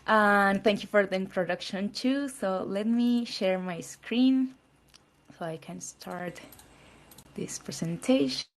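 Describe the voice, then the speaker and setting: A woman's voice: mid-range voice, slow. Peruvian woman speaking English with Latin American Spanish accent. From Peru. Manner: earnest, with slight non-native phrasing. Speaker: conference speaker. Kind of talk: presentation talk.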